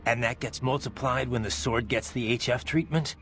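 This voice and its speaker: An actor playing a blunt, wry cyborg mercenary in a video game, speaking in a terse, dry manner. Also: male voice, medium pitch, steady tone, normal speed, a bit bright, natural voice. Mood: dry, curious. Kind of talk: video game cutscene voice acting.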